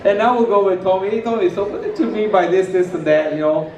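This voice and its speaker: A man's voice: high-pitched, steady tone, fast, a little mellow, muffled recording. A middle-aged Puerto Rican man whose first language is Spanish, giving a rambling, anecdote-filled speech at a retirement party into a handheld mic on a stage. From Puerto Rico. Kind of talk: casual speech to a party crowd. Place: large hall with a stage, indoors. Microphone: handheld mic, filmed by a far camera. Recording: echoey hall from far off.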